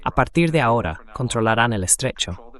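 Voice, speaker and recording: A man's voice: mid-range voice, expressive, fairly quick, fairly bright tone. A man explaining political developments in a synthetic dubbed translation voice.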